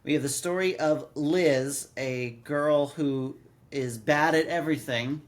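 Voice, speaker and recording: A man's voice: on the high side, a little slow. A man of thirty-nine co-hosting a podcast in casual chat, often stammering and doubling back as he explains. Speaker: podcast co-host.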